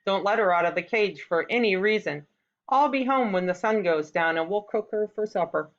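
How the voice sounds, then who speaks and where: A woman's voice: mid-range voice, lively intonation, moderate pace, natural voice. A woman voicing a boastful, firm hunter father in a storybook tale, on a slightly dull recording.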